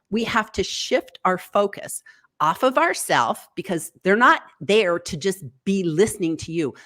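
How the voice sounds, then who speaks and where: A woman from the United States talks over a video call as a guest coach. Her voice swings widely in pitch, jumping up when she voices quoted worries. She sounds encouraging and practical. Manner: animated, jumps high for quoted thoughts. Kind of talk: online interview.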